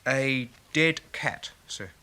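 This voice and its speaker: A man's voice: mid-range voice, takes it slowly, pushed and bright, clear, resonant tone. A man playing a dutiful, deferential and hesitant police constable in a 1970s radio play. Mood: hesitant, reporting.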